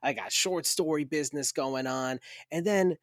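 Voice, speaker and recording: A man's voice: on the high side, normal speed, no echo, clean studio sound. A man co-hosting a podcast in casual banter, crude and self-mocking, laughing often. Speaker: podcast co-host and author.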